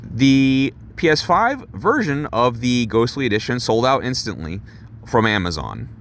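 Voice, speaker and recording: A young man doing a casual unboxing vlog for his chat, excitable and rambling, swearing and laughing at himself while losing his voice. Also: medium pitch, measured pace, slight background noise. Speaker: gaming YouTuber doing an unboxing.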